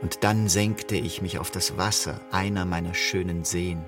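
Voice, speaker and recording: A man's voice: deep voice, steady tone, unhurried. An actor playing a despairing, confused king who pleads with God in a theatrical radio play monologue. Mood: brooding, melancholy. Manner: theatrical, swinging between whispers and outcries. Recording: music behind the voice. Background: music.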